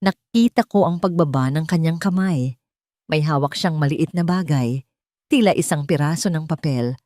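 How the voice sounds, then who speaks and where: A woman's voice: deep voice, normal speed, mellow, no echo, studio quality. A woman reading a scripted, dramatic first-person story. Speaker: story narrator.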